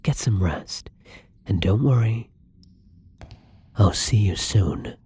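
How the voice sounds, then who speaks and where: A man playing a gentle, caring vampire in an intimate ASMR roleplay, speaking softly and tenderly with a husky, breathy voice. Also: lowish voice, animated, slow pace, heavily acted. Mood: soothing, tender. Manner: soft-spoken, tender, breathy.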